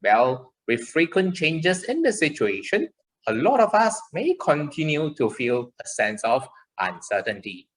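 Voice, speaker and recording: Woman as a slow, lively, caring child puppet. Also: low voice, low-quality recording. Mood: earnest, reassuring. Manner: slow, exclamatory, encouraging, simple explanations. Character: chirpy, caring child puppet character for preschoolers. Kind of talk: scripted children's puppet show.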